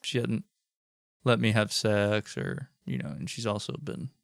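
A man's voice: fairly low pitch, expressive, measured pace, gravelly, no room sound, studio quality. A man co-hosting a podcast, casually teasing and wisecracking with the host. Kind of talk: casual banter.

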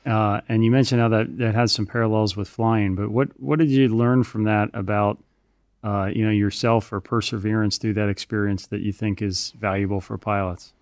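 A man's voice: on the low side, fairly even intonation, moderate pace, no echo, clean studio sound. A podcast host putting long, curious, multi-part questions to his guest. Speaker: podcast host interviewing a pilot. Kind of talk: interview questions.

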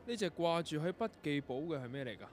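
Actor as a high-voiced, brusque young male composer. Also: lively intonation, fast, a little hiss or hum, natural voice. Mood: curt, impatient. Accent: Hong Kong Cantonese. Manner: curt, brusque, dramatic. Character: tormented young male composer, curt, anguished, losing control of his ears. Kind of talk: radio drama acting.

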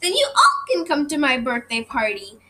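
A woman's voice: sits high, huge pitch swings, normal speed, pushed and bright, slight background noise, exaggerated voice. A woman voicing a bossy little girl who sets the rules for her birthday party.

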